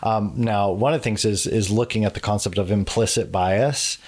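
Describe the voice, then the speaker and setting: Low-voiced male podcast host. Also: a little mellow. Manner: halting, with many fillers and restarts. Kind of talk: conversational interview.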